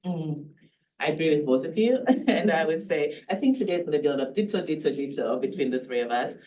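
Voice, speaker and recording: A woman's voice: low voice, expressive, brisk, warm tone, far from the mic, poor audio. A woman with a Jamaican accent, raised in Canada, speaking earnestly and thoughtfully as a panelist. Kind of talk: panel discussion.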